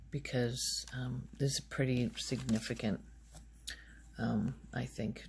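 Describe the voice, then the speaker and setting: A soft-spoken woman rambling in a halting, unhurried ASMR style, her quiet voice often close to a whisper. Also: creaky, a little hiss or hum. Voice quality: soft, low-volume voice, often near whisper. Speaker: ASMR video host.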